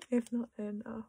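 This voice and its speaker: A young Australian woman chatting quietly to camera while sorting her collection, often dropping into a half-whisper and poking fun at herself. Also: fairly high pitch, creaky, a little hiss or hum. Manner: soft-spoken, often half-whispering, self-deprecating. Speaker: collecting vlogger. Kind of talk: casual chat while sorting cards.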